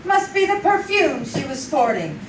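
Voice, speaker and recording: Jealous female reporter, played by an actress: dry, sardonic radio-drama banter, in an echoey theater with clear background noise. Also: sits high, big pitch swings, normal speed. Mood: catty. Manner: dry, sarcastic. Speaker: amateur radio troupe actor. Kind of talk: old-time radio drama acting, live stage performance. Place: small theater, live on stage. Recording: noisy roomy theater, distant microphone.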